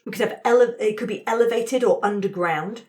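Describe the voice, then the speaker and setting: A woman's voice: mid-range voice, fairly quick, darkish tone. A woman facilitating a tabletop roleplaying game, explaining the rules to a group of friends in casual chat.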